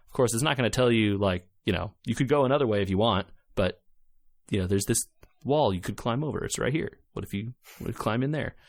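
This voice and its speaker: A man's voice: fairly low pitch, fairly quick, creaky, no echo. A man co-hosting a podcast, explaining things in an informal, enthusiastic way in casual chat about video games. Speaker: podcast co-host reviewing games.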